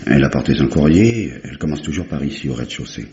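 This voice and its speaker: Very deep-voiced, dutiful police assistant. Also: male voice, animated, fast, dark tone. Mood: matter-of-fact. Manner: deferential, orderly reporting. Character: dutiful, matter-of-fact male police subordinate. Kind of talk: mid-century French radio drama acting. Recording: dull, roomy vintage radio recording.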